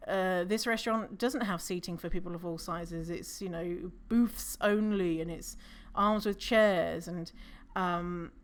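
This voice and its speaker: A non-binary podcast host with a low voice, talking in a casual, rambling solo monologue with fillers, self-corrections and casual swearing. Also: steady tone, measured pace, few pauses. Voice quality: low voice for a woman's range.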